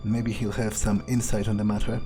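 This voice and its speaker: A man roleplaying a detached, pragmatic male vampire in a game playthrough, musing aloud with hesitant pauses. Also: lowish voice, flat delivery, music in the background, natural voice. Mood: intrigued, detached. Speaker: player roleplaying a character. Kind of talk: in-character game playthrough narration.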